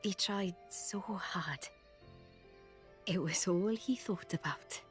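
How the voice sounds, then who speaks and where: A woman's voice: on the low side, takes it slowly, faint music behind. A woman voicing a feisty, blunt young former noblewoman in a video game, with a cheeky, informal way of talking. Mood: wistful, sad.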